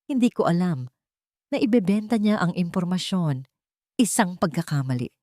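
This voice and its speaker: A woman's voice: lowish voice, very animated, moderate pace, warm tone, dry recording, studio quality. Woman acting a trembling, guilt-ridden old housekeeper. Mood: fearful, regretful. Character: frightened, guilt-ridden old housekeeper pleading her case.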